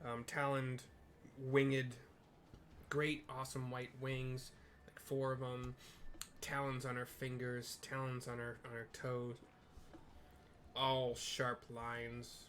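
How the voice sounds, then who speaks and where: A man's voice: medium pitch, monotone, slow, long pauses, fairly bright tone, a little hiss or hum. A man narrating a video game live, describing the scene informally for viewers. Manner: descriptive, informal, reads dialogue aloud.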